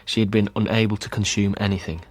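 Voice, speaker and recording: An actor playing a sober man reading out a grim account in a measured, matter-of-fact way in a radio drama. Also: on the low side, little pitch movement, dry recording, close to an everyday voice. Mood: solemn.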